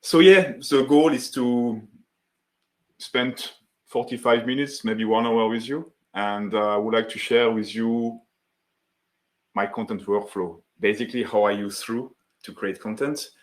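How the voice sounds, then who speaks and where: A man from France with a French accent, hosting a live webinar as founder of a software tool, greeting viewers in the chat and demoing his workflow. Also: medium pitch, steady tone, a little slow. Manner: friendly, greets chat viewers by name, many fillers.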